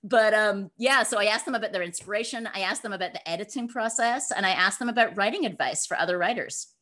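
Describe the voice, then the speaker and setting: A woman gives conversational answers as an interview guest. Her voice sits at an average pitch for a woman. She speaks informally and with emphasis, in an animated, storytelling way. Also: quick pace. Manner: emphatic, informal, acts out imagined dialogue. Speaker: guest author and podcaster.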